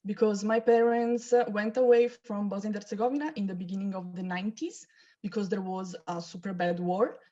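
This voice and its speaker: A woman's voice: mid-range voice, muffled recording. A woman, hosting an onboarding call in a chatty, informal way and laughing often. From Italy. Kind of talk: casual online presentation. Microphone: video call.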